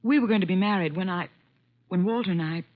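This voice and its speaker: An actress playing an embittered, emotional secretary in a vintage radio drama, with an intense, melodramatic delivery. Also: female voice, fairly low pitch, fast, close to an everyday voice. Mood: anxious, distressed. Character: emotional, embittered woman secretary with wounded pride. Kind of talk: 1940s-50s radio drama acting. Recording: dull vintage radio recording.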